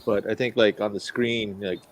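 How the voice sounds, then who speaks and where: A man's voice: on the low side, steady tone, moderate pace, slight background noise. A man chatting casually as a podcast co-host, talking in a hesitant, rambling way. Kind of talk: casual chat.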